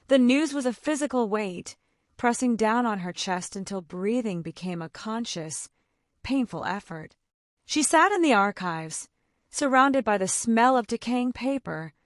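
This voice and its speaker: Female narrator, medium pitch, measured storytelling; dry, close studio sound. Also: no room sound. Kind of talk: scripted romance audiobook narration.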